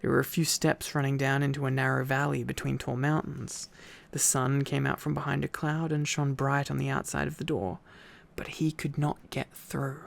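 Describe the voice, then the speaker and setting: A man's voice: medium pitch, level tone, normal speed, vocal fry, hardly any pauses. A sleepy man doing an intimate ASMR boyfriend-style reading, tired and affectionate, with playful asides to his listener. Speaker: ASMR boyfriend roleplay storyteller.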